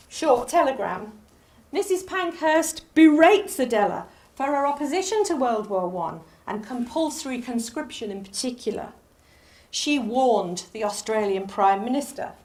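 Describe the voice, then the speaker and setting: A woman with a Northern English accent giving a public history lecture, animated, rising on key names and dropping low for asides. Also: normal speed. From Northern England. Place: lecture hall.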